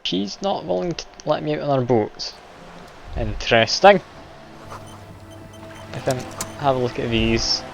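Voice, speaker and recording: A man's voice: medium pitch, animated, unhurried, gravelly, pauses often. A man streaming a game live, chatty and self-deprecating, laughing often as he plays. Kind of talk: casual live game commentary. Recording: dull lo-fi stream audio, game music behind.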